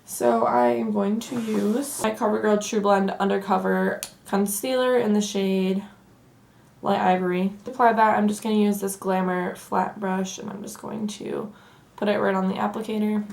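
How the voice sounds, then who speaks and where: Hoarse southern gal doing a chill makeup tutorial, kinda croaky, talks casual w lots of "like". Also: female voice, mid-range voice, normal speed. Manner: chatty and relaxed, says "like" often. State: hoarse from allergies, losing her voice. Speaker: beauty vlogger. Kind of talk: casual get-ready-with-me tutorial.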